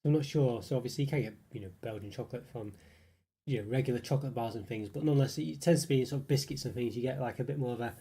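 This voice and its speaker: A man's voice: medium pitch, racing, warm tone, husky. A man with a congested voice from a cold, reviewing snacks in a rambling, casual live stream full of fillers. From United Kingdom. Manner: rambling, hedging, many fillers. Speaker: YouTube food reviewer.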